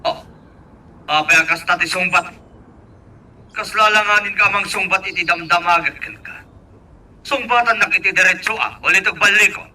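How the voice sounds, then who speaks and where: A man's voice: high-pitched, moderate pace, pauses often, sharp tone. A man acting a pushy, suspicious boss who demands answers in an Ilocano radio drama. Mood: demanding. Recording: distant microphone.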